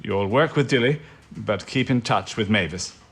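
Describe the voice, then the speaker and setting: An actor playing a courteous, grave wartime intelligence chief, measured and understated, in an audio drama. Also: male voice, on the low side, expressive, a bit bright, husky, full character voice.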